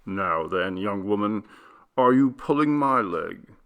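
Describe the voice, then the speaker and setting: A man voicing a nervous, rueful older gentleman passenger in an audiobook story, given to puzzled exclamations. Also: lowish voice, animated, slow pace, phone-quality sound. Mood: exasperated, rueful.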